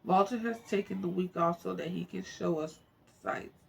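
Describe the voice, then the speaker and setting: Gravelly-edged woman, chatty and informal. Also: fairly low pitch, normal speed, poor audio. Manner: informal, musing to self, stumbles over words. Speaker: amateur book narrator. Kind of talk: reading aloud with off-the-cuff chatty asides. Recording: faint background noise.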